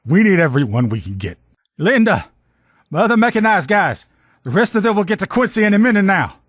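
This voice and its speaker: A man voicing a gruff, loyal military lieutenant in a video game, with blunt, profane, folksy soldier talk. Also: sits high, very animated, a little fast, a bit bright, no room sound, studio quality, full character voice. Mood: earnest, urgent. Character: gruff, loyal military lieutenant in power armor, protective comrade. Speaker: voice actor in a game mod. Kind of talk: video game character dialogue lines.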